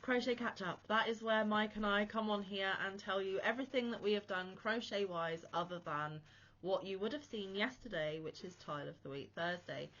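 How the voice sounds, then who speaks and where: Woman crochet vlogger, mid-pitched, feeling poorly and slightly wheezy, chatty and self-mocking, on slightly dull audio. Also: moderate pace, a bit bright. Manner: chatty, self-deprecating, rambling asides. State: unwell, chesty and a bit wheezy. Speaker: crochet channel host. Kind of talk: casual chat to camera.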